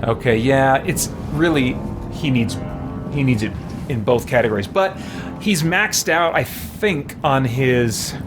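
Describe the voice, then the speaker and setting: A man's voice: medium pitch, expressive, measured pace, music in the background. A man hosting a game livestream, chatting casually and hesitantly, thinking aloud as he goes. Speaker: game streamer and host. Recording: clearly noisy background.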